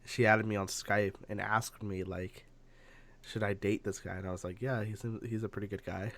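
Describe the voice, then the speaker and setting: A young man co-hosting a podcast, telling a casual story to friends in a halting, stop-and-start way. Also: on the low side, level tone, fairly bright tone. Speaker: podcast co-host.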